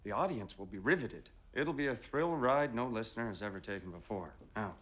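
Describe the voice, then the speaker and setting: An actor playing a pushy, grandiose 1920s radio play director, theatrical and brisk in manner. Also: male voice, lowish voice, expressive, a bit bright. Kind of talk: television period drama acting.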